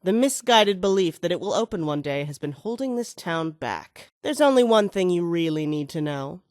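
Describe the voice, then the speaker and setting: A woman's voice: on the low side, lively intonation, normal speed, a little mellow, no echo, clean studio sound, natural voice. An actress playing an ambitious, scheming female mayoral candidate in a video game, speaking with self-assured, oratorical delivery. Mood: brisk, disdainful.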